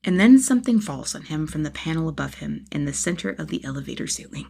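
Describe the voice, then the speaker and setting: Woman reading fiction out loud, kinda low n husky, expressive audiobook type delivery. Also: fast. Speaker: audiobook-style narrator of fan fiction. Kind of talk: expressive scripted story narration.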